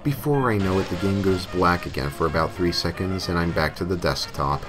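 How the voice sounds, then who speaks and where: Low-pitched male creepypasta narrator over music. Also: brisk. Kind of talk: scripted first-person horror story narration.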